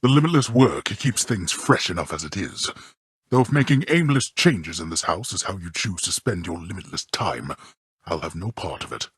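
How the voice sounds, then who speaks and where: Old god of the dead, gruff disdainful father, gravelly voice, swooping intonation, dry close sound, formal and curt, game character. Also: lively intonation, moderate pace, no echo, exaggerated voice. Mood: irritated, disdainful. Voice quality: deep, gravelly, husky. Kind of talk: video game character acting.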